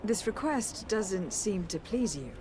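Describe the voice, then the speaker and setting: Woman acting poised noblewoman envoy, mid-pitched. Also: lively intonation, background noise, close to an everyday voice. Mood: polite concern. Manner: polite, measured, lightly teasing. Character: poised young noblewoman envoy, diplomatic and self-assured. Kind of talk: dramatic video game acting. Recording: clear music behind the voice.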